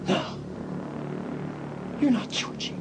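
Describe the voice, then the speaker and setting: A man's voice: takes it slowly, over loud music, natural voice. A man playing a terrified young man cornered by a killer in a horror audio drama, panicked and defiant.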